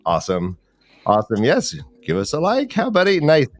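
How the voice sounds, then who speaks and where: A man's voice: fairly high pitch, wildly swooping pitch, a little fast, no echo. A man hosting a fantasy football livestream, chatting casually about sports.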